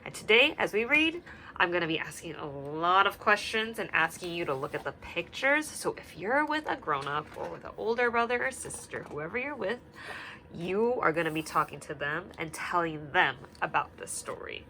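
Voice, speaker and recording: A woman teacher reads a picture book aloud to young children from home, her voice gentle and sing-song, full of questions for her listeners. Her pitch sits in an ordinary middle range. A faint hiss of room noise runs behind her. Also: big pitch swings, normal speed, poor audio.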